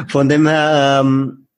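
A man's voice: on the high side, animated, drawn-out words. A man in a casual conversation, giving earnest advice and acting out what others have said to him.

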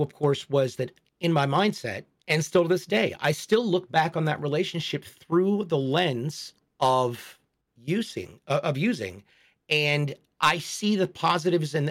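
A man in his forties talks openly and thoughtfully on a casual podcast. His voice sits a little high for a man. He takes his time and reflects as he tells his story. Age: 40s. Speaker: podcast co-host. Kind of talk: casual chat.

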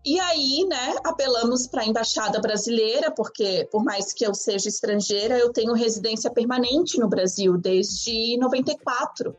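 A woman's voice: a little high, normal speed, hardly any pauses, breathy edge, soft background music. Argentine woman, southern Brazilian accent. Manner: emotional, candid storytelling with fillers. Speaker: podcast guest. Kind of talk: casual chat.

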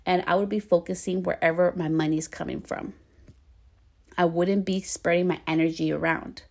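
Gravelly low-voiced woman podcaster. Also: steady tone, darkish tone. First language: bilingual Spanish and English. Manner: fast-talking, stumbles over words; encouraging, coaching tone. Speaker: podcast host. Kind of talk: casual solo podcast talk.

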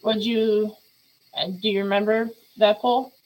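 A woman's voice: medium pitch, level tone, unhurried, darkish tone, low-quality recording. A chatty woman hosting a casual talk show, laughing often as she asks questions. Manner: giggly, chatty. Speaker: podcast host. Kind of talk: casual chat.

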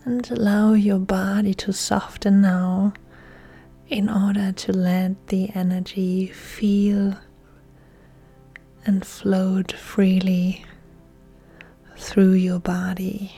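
A woman guides a relaxation meditation as a yoga instructor. Her voice is soft, breathy and mellow, often close to a whisper. She soothes with very drawn-out, unhurried phrasing over faint music. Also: mid-range voice, flat delivery. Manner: soothing, hushed, often near-whispered delivery.

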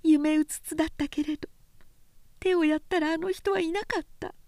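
A woman's voice: very high voice, fairly even intonation, moderate pace, mellow, no room sound, pitched above the performer's own voice. A woman reading a story, voicing an anguished, drunk young woman in a thin, wavering, old-fashioned feminine voice. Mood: bitter despair.

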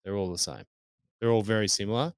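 A man's voice: lowish voice, quick pace, clear, resonant tone, dry recording, clean studio sound. A man, co-hosting a casual podcast chat, blunt and sweary. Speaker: podcast co-host.

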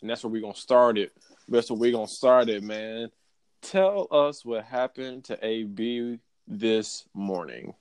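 A man's voice: medium pitch, expressive, unhurried, darkish tone, clean tone, no room sound. Man, podcast co-host, casual sports talk, laid-back, dry close sound. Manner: laid-back, repeats phrases for emphasis.

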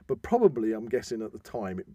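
A man hosting an informal discussion, explaining with halting enthusiasm and emphatic stresses. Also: lowish voice, big pitch swings, mellow, husky, no room sound. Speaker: podcast host.

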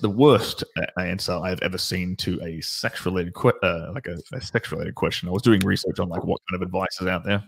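Man, very deep voice, husky, confident, rambling casual chat, dating coach guest.

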